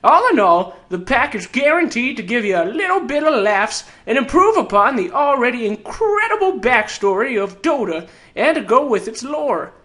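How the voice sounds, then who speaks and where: A tiny old fantasy game adventurer with a very high, odd, piercing voice. He swoops around in pitch, sarcastic and cocky, teasing the listener with folksy grammar as he pitches himself as an announcer. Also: very animated, moderate pace, few pauses, pushed and bright, exaggerated voice. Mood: boastful, folksy. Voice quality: weird, high-pitched character voice. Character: tiny old sarcastic male keen-folk treasure hunter.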